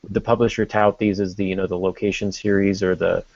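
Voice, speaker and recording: A man's voice: low-pitched, little pitch movement, quick pace, gravelly, a little mellow, no echo. A man co-hosting a casual podcast discussion, explaining things as he thinks them through. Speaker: podcast co-host. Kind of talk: casual discussion of fiction writing. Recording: dull lo-fi podcast audio.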